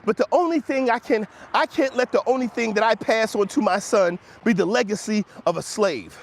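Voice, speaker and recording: A man's voice: high-pitched, moderate pace, creaky. An American man in his fifties giving a motivational monologue, emphatic and preacherly, with repeated phrases and rhetorical questions. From Washington, D.C., United States. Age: 50s. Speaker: host of a motivational talk show.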